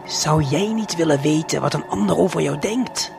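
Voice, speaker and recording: A man narrates a surreal audio play straight to the listener, with intimate, deliberate, hypnotic phrasing. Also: on the high side, gravelly. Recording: music under the voice. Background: music.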